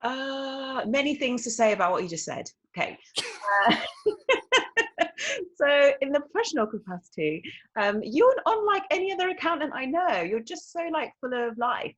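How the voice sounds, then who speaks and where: Chatty lady podcast host, kinda husky, fairly high, laughs a lot. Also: big pitch swings, moderate pace, a bit bright, lo-fi sound. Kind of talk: casual interview chat.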